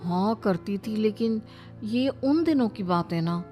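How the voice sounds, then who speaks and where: A woman acts a young female friend in a cartoon drama. She sounds hesitant and reluctant, mid-pitched, with soft music in the background. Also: animated, measured pace, close to an everyday voice. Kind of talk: acted Hindi cartoon family story.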